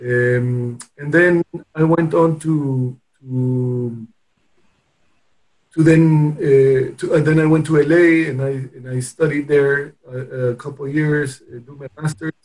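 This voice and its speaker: A man from Peru speaking as an interview guest, telling his story in a hesitant way with many pauses and restarts. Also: on the high side, steady tone, a little mellow, poor audio. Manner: hesitant, with frequent restarts and pauses while recounting. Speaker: interview guest, company founder. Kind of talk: casual interview conversation.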